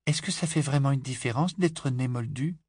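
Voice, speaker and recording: A man's voice: medium pitch, normal speed, darkish tone, breathy edge, no echo, clean studio sound, pitched above the performer's own voice. A man voicing an eager young girl who questions, pleads and accuses. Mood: curious.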